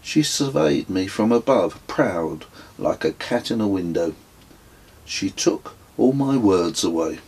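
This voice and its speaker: Husky, slow-paced man reading his memoir. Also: lowish voice, lively intonation, darkish tone. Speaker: author reading own memoir. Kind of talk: audiobook narration of a reflective travel memoir.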